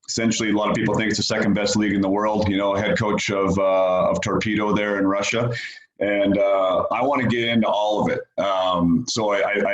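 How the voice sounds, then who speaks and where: Halting male podcast host, echoey room. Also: on the low side, dull audio. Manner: halting, many fillers and restarts. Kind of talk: casual interview.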